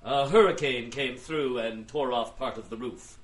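A man's voice: mid-range voice, normal speed, lightly acted. An actor playing a smug, wealthy businessman giving curt, haughty testimony in an audio drama. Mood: smug, guarded. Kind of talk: audio drama courtroom acting.

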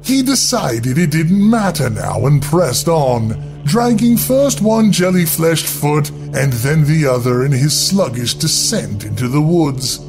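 Male horror storyteller: higher-pitched voice, deliberate theatrical delivery, very animated intonation, over music. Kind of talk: dramatic scripted horror narration. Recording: music bed under the voice.

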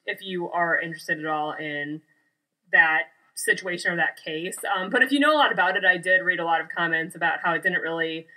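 A woman talks casually as a podcast co-host. Her voice sits a little low for a woman, with a bright, crisp edge. The delivery is conversational and unscripted. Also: fairly quick, low-quality recording. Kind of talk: casual chat.

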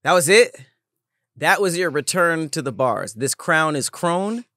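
A man's voice: expressive, a little slow, pushed and bright, dry recording. A young man co-hosting a podcast, joking and teasing in casual banter. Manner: joking, teasing, tries deep voices. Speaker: podcast co-host.

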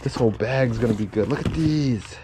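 A man giving casual running commentary as he digs through a storage unit, muttering to himself and cheering at good finds, recorded outdoors on a head-mounted camera. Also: mid-range voice. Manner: unhurried, muttering to himself, upbeat when finding items. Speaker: reseller sorting a storage unit. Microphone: head-mounted camera, point of view. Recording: loud background noise.

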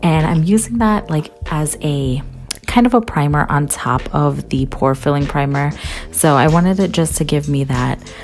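A woman in her thirties doing a casual makeup tutorial, her voice raspy and a bit muffled from a cold, chatting through each product with frequent ums. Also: low voice, measured pace, a little mellow, faint music behind. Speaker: beauty vlogger. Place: bedroom with window blinds, indoors.

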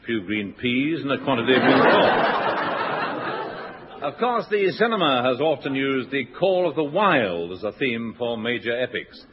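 A man's voice: mid-range voice, big pitch swings, moderate pace, crowd noise. Dry, mock-formal radio show host, man. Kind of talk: scripted comic links. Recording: dull 1960s radio recording with hiss.

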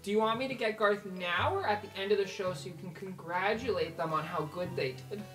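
A woman's voice: medium pitch, fairly quick, faint music behind, natural voice. A woman playing an earnest, reassuring young student guard in a tabletop game, hesitating and repeating herself. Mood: pleading, earnest.

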